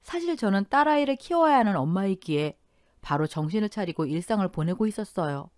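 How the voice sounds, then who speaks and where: A woman narrating a family story in radio-drama style, calm and heartfelt. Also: mid-range voice, fairly quick, dry recording, clean studio sound.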